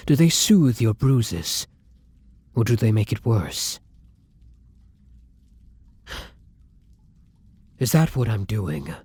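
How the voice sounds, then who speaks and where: A man voicing a lovesick, guilt-ridden vampire in an intimate ASMR roleplay, speaking softly and breathily in formal, brooding phrases, often close to a whisper. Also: on the low side, slightly rough, no echo, full character voice. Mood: wistful, anxious. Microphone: close, dry microphone.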